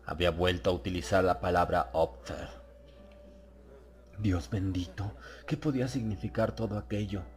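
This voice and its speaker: A man's voice: sits low, steady tone, moderate pace, darkish tone, soft background music. A man narrating a dramatized horror audiobook.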